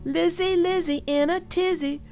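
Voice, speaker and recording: A woman's voice: very high voice, steady tone, a little slow, faint music behind, voice pushed up. A woman voicing a bossy, indignant young girl bear cub in a children's storybook. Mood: angry. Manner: loud, indignant, shouting. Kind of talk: children's storybook read-aloud.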